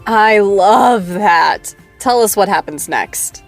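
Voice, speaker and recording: A bubbly teasing talk show host. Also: female voice, mid-range voice, expressive, slow, faint music behind. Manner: playful, teasing, gushing. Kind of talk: scripted audio drama, acted interview.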